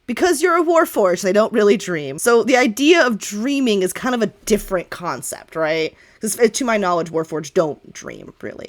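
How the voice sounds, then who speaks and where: A woman speaks as the game master of a tabletop roleplay session. She narrates scenes and checks in with players in a casual, chatty style, with joking asides and a middling pitch.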